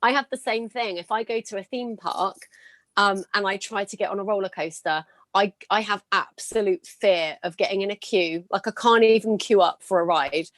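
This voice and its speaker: Gravelly-voiced woman host, dry sound. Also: medium pitch, normal speed, fairly bright tone, no room sound. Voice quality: slightly gravelly voice. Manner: earnest, encouraging, storytelling. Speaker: podcast host. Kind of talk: casual interview chat.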